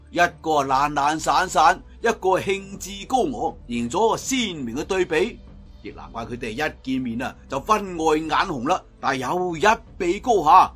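A man's voice: medium pitch, a bit bright. A man with a Cantonese accent narrating martial-arts tales as a traditional storyteller, theatrical and emphatic at sentence endings.

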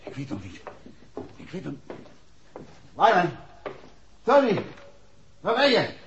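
A creaky-voiced, resolute detective husband. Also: male voice, high-pitched, huge pitch swings, slow pace, highs cut off, exaggerated voice. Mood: urgent. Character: Paul, a calm resolute male detective husband. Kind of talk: 1960s Dutch radio drama acting. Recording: noisy old 1960s radio recording.

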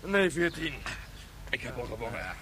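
Male actor as sardonic colonel, commanding, lively intonation, radio play, loud crowd behind. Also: medium pitch, normal speed, lightly acted.

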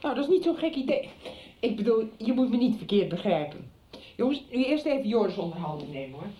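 A woman's voice: fairly high pitch, sing-song, fairly quick, distant mic, a little hiss or hum. A middle-aged actress playing a strict, interrogating mother in a radio soap. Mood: concerned, probing. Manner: stern, interrogating. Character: strict mother confronting her teenage son. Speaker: actor. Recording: dull roomy radio recording, off-mic.

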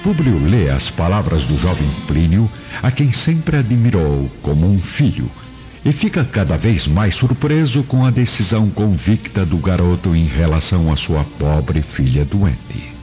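A man's voice: low voice, sing-song, unhurried. A man narrating a radio drama with dramatic, scripted storytelling delivery. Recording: muffled lo-fi, music underneath.

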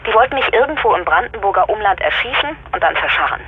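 A woman's voice: medium pitch, animated, fast, noisy background. Shaken woman on tinny phone line. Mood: grateful, shaken. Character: grateful, shaken woman rescued from kidnappers, a mother. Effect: satellite phone filter. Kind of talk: German audio drama acting.

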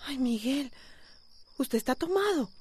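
An actress with a Costa Rican accent playing an anxious wife who placates and pleads in a radio soap opera. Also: female voice, high voice, animated, unhurried, darkish tone, breathy edge, dry recording, heavily acted. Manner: pleading, flustered.

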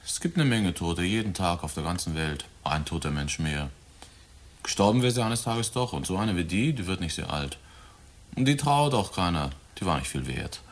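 A man's voice: sits low, expressive, moderate pace. A man in a German radio drama playing a cynical 23-year-old murderer who taunts his father in a cold, flippant, insinuating way. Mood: callous.

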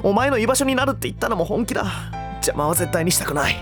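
Brash, conflicted young man, voice drama. Blunt, rough phrasing, highly animated intonation, music underneath. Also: quick pace. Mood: desperate outburst. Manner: rough, blunt casual speech. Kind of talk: Japanese voice drama acting.

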